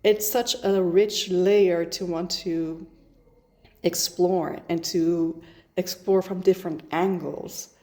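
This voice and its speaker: A woman's voice: fairly low pitch, steady tone, slow pace, warm tone. A Dutch-speaking woman raised in the Netherlands, introducing herself as an author to camera, thoughtful, with many restarts and fillers. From Iran, raised in the Netherlands. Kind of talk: casual self-introduction to camera.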